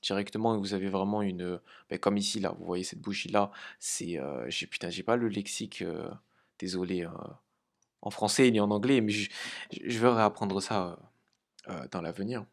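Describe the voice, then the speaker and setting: A man's voice: low-pitched, creaky. A man from France hosting a crypto trading channel, talking casually with many hesitations and light laughs. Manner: halting, many fillers, informal swearing. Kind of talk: casual market analysis.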